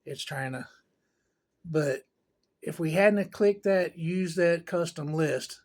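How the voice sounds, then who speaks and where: A man's voice: on the high side, takes it slowly, a little mellow. A man giving a casual hands-on tech tutorial in a folksy, rambling way with informal grammar. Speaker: tutorial presenter.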